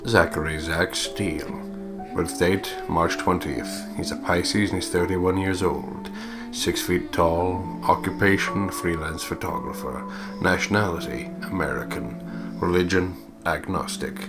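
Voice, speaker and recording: A man with a very low voice gives casual gaming commentary and reads story text aloud. He banters with plenty of swearing while guitar music plays loudly behind him. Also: steady tone, unhurried. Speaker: let's play co-host. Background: guitar game music.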